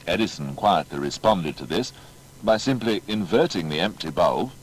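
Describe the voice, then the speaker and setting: A man's voice: deep voice, lively intonation, moderate pace. A man narrating a scripted biography in a formal, emphatic storytelling manner. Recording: faint background noise.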